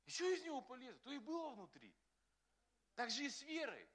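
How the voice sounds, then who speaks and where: Lively, bright-voiced male pastor. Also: very high-pitched, brisk. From Russia. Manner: animated, acts out quotes, laughs often. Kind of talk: sermon.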